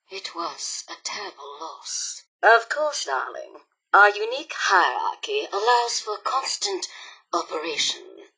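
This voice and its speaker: A woman's voice: fairly low pitch, sharp tone, slightly rough, heavily acted, low-quality recording. A woman voicing a glamorous, haughty female robot supervisor in a video game, with a grand, affected theatrical drawl. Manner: grand, affected diva drawl, calls everyone darling.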